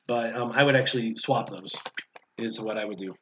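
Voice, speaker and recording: A man's voice: lowish voice, normal speed, a bit bright, a little hiss or hum. A man chatting casually as a guest on a gaming stream, explaining things step by step as he answers viewers' questions. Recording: dull lo-fi stream audio.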